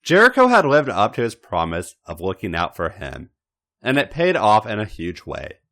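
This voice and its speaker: A man's voice: fairly low pitch, huge pitch swings, moderate pace, fairly bright tone. A man narrating a story as a podcast reader, with a dramatic, emphatic delivery. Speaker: podcast host reading fan fiction. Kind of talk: expressive narrated story reading.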